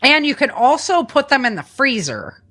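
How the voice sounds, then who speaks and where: A woman with a bright, forward voice talks as a podcast co-host. She explains practical tips in an informal, chatty way, like casual conversation between friends. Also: sits high, big pitch swings, moderate pace.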